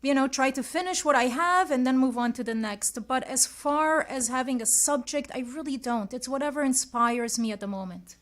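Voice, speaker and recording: A woman's voice: high voice, moderate pace, few pauses. A woman from Switzerland, a guest artist, chatting casually in an interview and thinking aloud as she answers.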